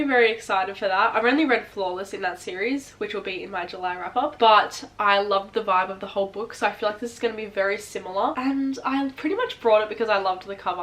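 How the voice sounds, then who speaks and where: A woman's voice: mid-range voice, a bit bright. A young woman chatting casually to camera about books she wants to read, her voice nasal from a cold, rambling with many fillers. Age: young adult. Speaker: book vlogger.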